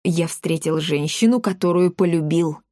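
A woman's voice: fairly low pitch, moderate pace, dark tone, no echo, natural voice. Female reader as big male gendarme, meek mama's boy, placating, devoted, storybook character, dry sound. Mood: proud.

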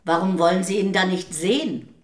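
A woman's voice: fairly low pitch, steady tone, a little fast, natural voice. An actress in a German radio play voicing a wary, plain-spoken woman who answers tersely and with reserve. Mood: dry, guarded.

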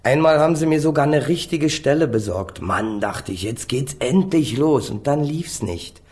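A man's voice: mid-range voice, animated, moderate pace, darkish tone, lightly acted. A man acting a defiant, street-tough young small-time crook in a German radio crime drama, flippant and slangy. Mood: bitter, self-pitying. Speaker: actor playing a defendant.

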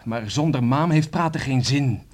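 An actor playing a reticent young seafarer in a Dutch radio drama, measured and hesitant yet quietly insistent. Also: male voice, mid-range voice, natural voice. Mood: firm. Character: young seafarer, reticent, stubborn, guarded family envoy.

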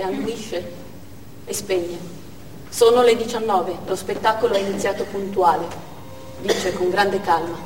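A woman's voice: on the high side, slight background noise, lightly acted. An actress playing a devout, fearful Chechen woman militant, narrating in a theatrical stage monologue. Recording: echoey theatre hall, distant, faint music.

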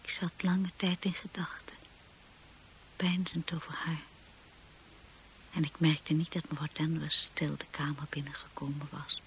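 A woman playing a timid, anxious young wife in a radio drama, hushed and hesitant, apologetic and eager to please. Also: sits low, little pitch movement, a little slow, pauses often, slight background noise, low-quality recording. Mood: brooding, hushed. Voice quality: breathy, hushed.

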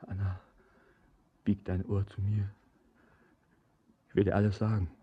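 Haunted man, very husky and slow. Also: lowish voice, level tone, muffled recording. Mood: haunted, hushed. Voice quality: husky, breathy. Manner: halting, feverish, declamatory. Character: haunted, tormented young man gripped by dread. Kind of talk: intense poetic German radio drama acting.

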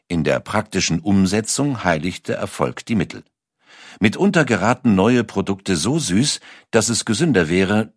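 A man's voice: sits low, no room sound, clean studio sound. A man reading scripted documentary narration as a narrator.

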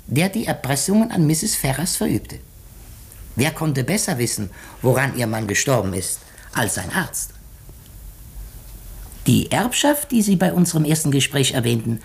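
An actor playing a shrewd, methodical detective in a 1950s radio play, explaining deliberately with dramatic pauses. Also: male voice, mid-range voice, vocal fry, breathy edge, exaggerated voice. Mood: pointed, accusing. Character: shrewd, methodical male detective unmasking a murderer.

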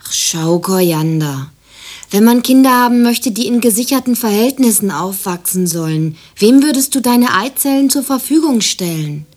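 A woman's voice: on the high side, lively intonation, normal speed, a little mellow, lightly acted. An actress playing a practical, hard-hearted, mocking woman in radio play dialogue, blunt and dry. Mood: sardonic, blunt.

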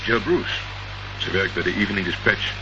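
Deep-voiced sardonic private eye. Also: male voice, moderate pace. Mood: matter-of-fact. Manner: sarcastic, deadpan. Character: dry, sardonic male private investigator, unruffled under questioning. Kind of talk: Dutch radio play acting. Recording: muffled, noisy old radio recording.

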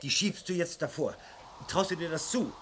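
A man's voice: on the high side, normal speed, natural voice. An actor playing a tense police officer who urgently instructs and pleads with his wife in a German radio crime drama. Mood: commanding. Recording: noisy old radio drama recording.

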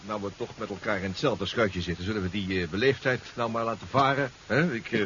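A man's voice: on the low side, fast talker, fairly bright tone, close to an everyday voice. A man acting a genial, fussy professor in a 1970s radio drama, stammering and repeating himself. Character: genial male professor, polite, fussy, eager to be informal. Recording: muffled 1970s radio recording, faint hiss.

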